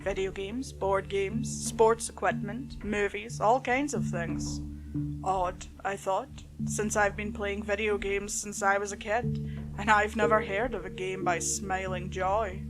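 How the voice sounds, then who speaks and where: A woman's voice: medium pitch, fairly even intonation, a little slow. A woman reading a scripted creepypasta story as host and narrator. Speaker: host and narrator of horror stories. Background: music.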